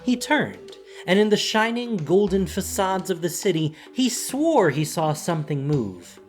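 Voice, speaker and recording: A man narrating a fantasy story as scripted audio drama, in a dramatic, deliberate storytelling manner. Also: sits high, big pitch swings. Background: music.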